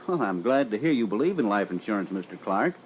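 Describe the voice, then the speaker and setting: A man's voice: on the low side, very animated, fast talker. A man playing a polite, businesslike and persistent life insurance salesman in a scripted phone-call role-play. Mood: courteous, persistent. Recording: noisy old reel-to-reel training record.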